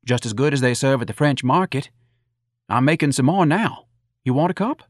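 Audiobook role: mild, eager-to-please little cop, acted by a male narrator, folksy, raised pitch, lively intonation. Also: moderate pace, dry recording, clean studio sound, lightly acted. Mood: apologetic. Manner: folksy ungrammatical talk, mild. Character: meek, friendly little patrolman, eager to please.

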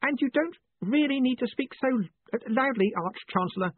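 Male narrator as meek storybook academic wizard, high voice, fussy, hesitant, politely patient, thin phone-like sound. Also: lively intonation, moderate pace, dry recording. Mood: strained patience.

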